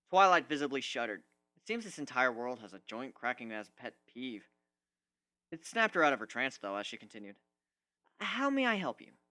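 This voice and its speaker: A man giving an expressive, dramatic first-person reading of a story as an amateur narrator. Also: mid-range voice, no echo.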